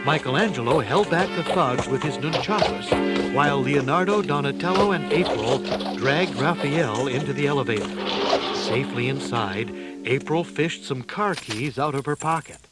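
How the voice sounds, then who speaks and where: A man narrating a children's adventure story in a deliberate, theatrical style. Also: mid-range voice, expressive. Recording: loud music behind the voice.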